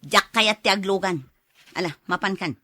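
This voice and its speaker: A woman's voice: medium pitch, normal speed, pushed and bright. A woman playing a picky, irritable single schoolteacher in a Philippine radio drama, curt and scornful. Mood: haughty, dismissive.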